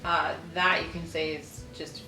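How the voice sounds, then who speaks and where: A woman with a fairly low voice hosts a casual read-along. She chats and reads aloud in a roomy, echoing space. Faint music plays underneath. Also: flat delivery, unhurried. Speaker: host of a lifestyle video channel. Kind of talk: casual chat with reading aloud from an old newspaper.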